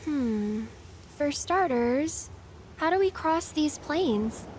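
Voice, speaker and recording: Game heroine: gentle young adventurer, high voice, slow thoughtful delivery, audible ambience. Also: female voice, lively intonation, background noise, full character voice. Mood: awed, curious. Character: gentle, wonder-filled young female adventurer. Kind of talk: cinematic video game acting.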